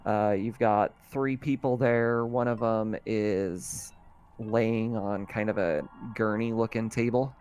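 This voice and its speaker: A man's voice: lowish voice, fairly even intonation, takes it slowly, darkish tone, smooth. A man narrating a tabletop roleplaying game as game master, in a casual, conversational, improvised way. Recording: music under the voice.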